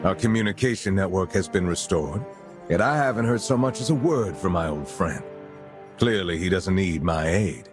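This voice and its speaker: An actor playing a grave veteran lord and military commander in a video game, speaking in a formal, measured way. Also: male voice, low voice, lively intonation, over music. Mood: stern. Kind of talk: dramatic video game cutscene acting.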